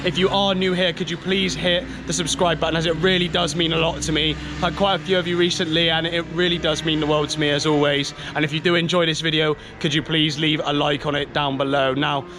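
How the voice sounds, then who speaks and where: Give me a young guy with a slightly raised voice, eagerly introducing his video outdoors. Kart and traffic noise sounds clearly all around him. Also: on the high side, flat delivery, brisk, few pauses. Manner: eager, friendly, asks viewers to subscribe. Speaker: karting vlogger. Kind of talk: upbeat channel intro. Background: vehicles racing around.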